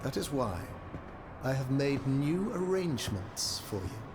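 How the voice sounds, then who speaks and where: An actor playing an imperious, suspicious King of France in a video game cutscene, speaking in a measured, formal way. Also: medium pitch, sing-song, background noise. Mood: grave, commanding. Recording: music behind the voice.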